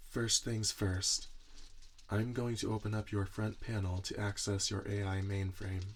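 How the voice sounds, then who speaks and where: Gentle monster inventor, played by a man, low pitch, very little inflection, soft-spoken, deliberate, reassuring, ASMR roleplay. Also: level tone, natural voice. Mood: calm, reassuring. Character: gentle, earnest male monster inventor tending his robot creation. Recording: audible background noise, typing and clicks. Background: computer keyboard typing and clicks.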